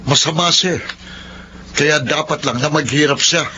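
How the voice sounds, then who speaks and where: An actor playing a bitter, unforgiving young son with a resentful, defiant manner. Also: male voice, fairly high pitch, unhurried, close to an everyday voice. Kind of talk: radio drama acting. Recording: dull lo-fi with loud noise.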